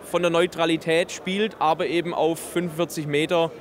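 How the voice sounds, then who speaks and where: High-pitched man explaining technical products. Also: level tone, normal speed. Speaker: exhibitor presenting a loudspeaker system. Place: busy trade fair booth in a large hall. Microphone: handheld interview microphone held by interviewer.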